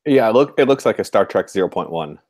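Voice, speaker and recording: Man, lowish voice, podcast host, casual interviewing, very dry sound. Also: expressive, brisk, a little mellow, no echo.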